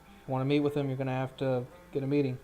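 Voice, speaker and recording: A man's voice: medium pitch, completely flat delivery, very fast, mellow, soft background music, close to an everyday voice. A man voicing a firm, officious gate guard in a tabletop game, politely stonewalling visitors.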